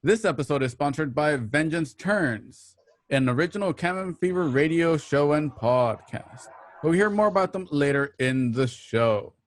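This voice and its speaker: A man's voice: fairly high pitch, animated, moderate pace, dry recording. A man hosting a show, delivering a scripted introduction in a presenterly, announcing manner. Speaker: show host. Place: at home.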